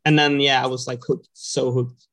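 A Swedish-accented man, Swedish by birth, answering interview questions in a very flat, even voice. His speech stays level and unvaried throughout. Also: mid-range voice, moderate pace. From northern Sweden. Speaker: skier, podcast guest. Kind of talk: casual interview.

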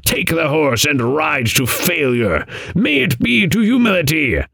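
A man voicing a stern old raven mentor in an audiobook, croaking and solemn, with archaic, admonishing speech. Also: fairly high pitch, big pitch swings, a little slow, a bit bright, dry recording, voice pushed up, full character voice. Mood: angry, resigned. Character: stern old raven guide, grave male riddling mentor. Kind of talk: audiobook character voice.

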